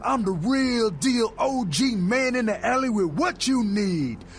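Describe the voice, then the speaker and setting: Boastful heavyset street dealer, high-pitched, very animated delivery, slangy showman patter, game character. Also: unhurried, slight background noise. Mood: mock offended. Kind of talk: video game character acting.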